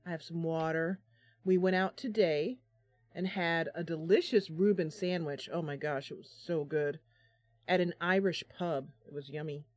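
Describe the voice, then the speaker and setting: A woman's voice: sits low, fairly even intonation, normal speed, dry recording. A woman hosting a live stream, chatting casually with viewers in a bubbly, talkative way. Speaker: live stream host, gamer.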